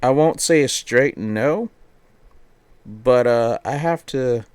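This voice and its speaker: A man's voice: medium pitch, slow, darkish tone, clean tone, no room sound. A man streaming games, chatting casually and thinking aloud in a rambling way that often repeats phrases. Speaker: game streamer. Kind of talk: casual livestream chat while setting up a game.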